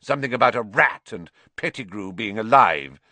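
A man's voice: lowish voice, lively intonation, normal speed, sharp tone, no room sound, heavily acted. Male reader doing a snarling, spiteful teacher who sneers and hisses. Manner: sneering, snarling, sometimes whispered.

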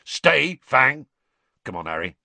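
A man voicing a big, gruff gamekeeper for an audiobook, growling in blunt, indignant rustic dialect. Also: mid-range voice, sing-song, takes it slowly, sharp tone, no echo, voice pushed up, heavily acted.

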